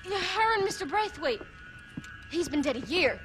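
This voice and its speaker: A woman's voice: very high voice, lively intonation, moderate pace, fairly bright tone, slight background noise. A woman voicing a frightened young country girl in a game cutscene, tearful and rambling. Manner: tearful, rambling, rural folksy speech. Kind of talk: video game cutscene acting.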